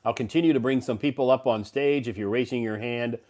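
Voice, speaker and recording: A man's voice: mid-range voice, fairly quick, a little mellow. A man hosting a casual live stream, recovering from a cold and rambling with many fillers and restarts. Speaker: live stream host and English teacher.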